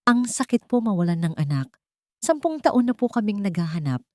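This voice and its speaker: A woman's voice: mid-range voice, very animated, normal speed, warm tone, dry recording, clean studio sound, pitched above the performer's own voice, natural voice. A woman voicing a wealthy, scheming mother who makes a pleading, tearful show of grief in an audio story. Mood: pleading accusation.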